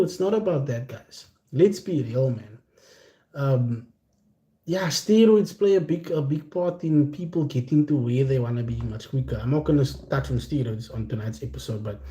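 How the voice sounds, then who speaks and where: Mellow South African man in an echoey room. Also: mid-range voice. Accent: Cape Flats South African. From Cape Town, South Africa. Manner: candid, slangy, addresses viewers directly. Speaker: content creator hosting a chat livestream. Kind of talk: casual, unscripted livestream talk. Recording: roomy, echoing sound.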